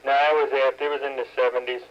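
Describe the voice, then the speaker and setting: A man checks in over CB radio, talking in an offhand, matter-of-fact way and showing he knows old radio gear well. His delivery stays flat, with little rise or fall. The signal is muffled, with a faint hiss behind it. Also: medium pitch, quick pace. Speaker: CB radio caller. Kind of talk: casual radio chat.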